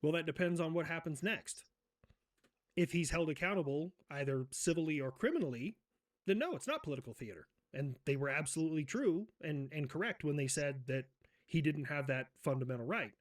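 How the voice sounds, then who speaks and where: A man's voice: fairly high pitch, lively intonation, brisk. A man in profane, sarcastic comic roleplay banter, ranting in a put-on accent. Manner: putting on an accent.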